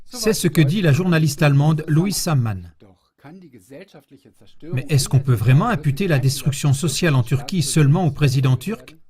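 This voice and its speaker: Formal male news voice-over, slightly high. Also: expressive, darkish tone. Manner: measured, formal reading. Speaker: news narrator. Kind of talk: scripted voice-over narration.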